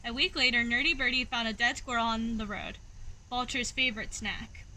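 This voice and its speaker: A woman reading a children's picture book aloud as an expressive storyteller. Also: a little high, normal speed, slight background noise, rough recording.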